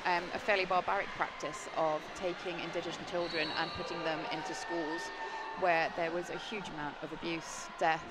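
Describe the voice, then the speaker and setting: A British woman commentating live on a roller derby game, earnest and explanatory, rising in excitement on the plays. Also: fairly low pitch, moderate pace, few pauses, very noisy. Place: sports venue.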